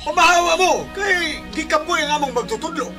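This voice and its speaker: An officious, disapproving disciple in a biblical radio drama. The voice is high and swoops around, with very loud music underneath. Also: male voice, expressive, fairly quick. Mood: indignant. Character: officious, disapproving follower of a holy teacher.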